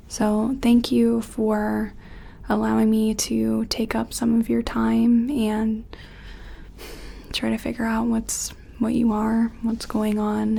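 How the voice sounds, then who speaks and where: A woman playing a gentle, curious scientist in an ASMR roleplay, speaking softly and tentatively in a breathy, often whispery, soothing voice. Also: fairly high pitch, exaggerated voice. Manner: soothing, tentative, apologetic, hesitant pauses. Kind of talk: soft-spoken ASMR roleplay.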